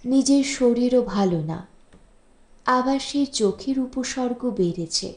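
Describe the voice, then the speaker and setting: A woman's voice: on the high side, animated, takes it slowly, darkish tone. A woman voicing a harried, polite housewife's letter, chatty and apologetic, in an audiobook story. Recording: echoey room, music bed underneath.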